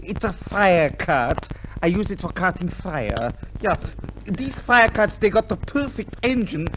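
Excitable crackpot professor, old 50s radio comedy, really animated, bit of hiss. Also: male voice, high-pitched, measured pace. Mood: boastful, excitable. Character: eccentric boastful foreign professor, crackpot inventor. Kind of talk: 1950s radio comedy sketch acting. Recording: noisy 1950s radio show recording.

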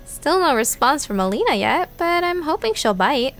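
A woman's voice: high voice, sing-song, moderate pace, fairly bright tone, exaggerated voice. A woman narrating a scripted audio drama. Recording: music behind the voice.

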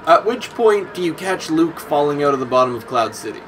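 A man playing a video game with friends, chatty and prone to excited exclamations. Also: a little high, fairly quick, faint music behind. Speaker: let's play player. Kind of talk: casual gaming banter.

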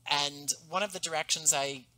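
A man in his thirties speaks over a headset on a video call from home, telling stories with eager enthusiasm. His voice is bright and forward. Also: a little high, normal speed. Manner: animated, enthusiastic storytelling. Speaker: podcast guest, audio drama creator. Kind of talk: casual interview. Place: home room, indoors.

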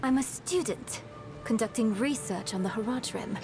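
An actress playing a determined, defiant young female student in a dramatic video game, earnest and deliberate. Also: on the high side, music in the background, lightly acted. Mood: defiant, resolute.

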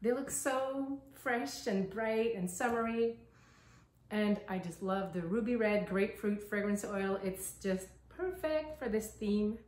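A woman's voice: mid-range voice, unhurried, a little mellow, reverberant. A woman in her thirties narrating her craft work in a casual, upbeat, appreciative way. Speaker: soap maker presenting own videos. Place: small indoor workshop kitchen.

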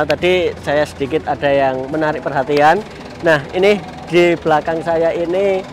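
A man in his thirties casually showing off a minibus outdoors, chatting to his viewers as friends. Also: a little high. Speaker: vlogger. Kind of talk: casual walkaround vlog. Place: outdoor car show parking lot. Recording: outdoor noise behind voice.

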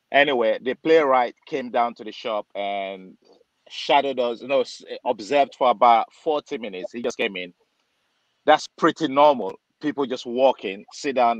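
Chatty barber on a dull video call. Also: male voice, fairly low pitch, no echo. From Nigeria. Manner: chatty, acts out dialogue, many fillers. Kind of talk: casual storytelling on a video call. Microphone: webcam on a video call.

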